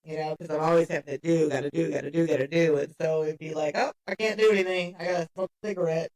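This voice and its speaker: A woman in her fifties with a gravelly voice, chatting as a podcast guest and laughing often. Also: sits low, quick pace. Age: 50s. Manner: laughs often, lively storytelling. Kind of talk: casual chat. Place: a workshop.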